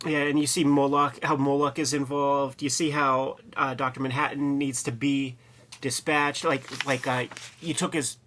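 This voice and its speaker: A man's voice: mid-range voice, a bit bright. A man co-hosting a review show in casual, slangy, rambling commentary. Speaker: co-host of a comics review show.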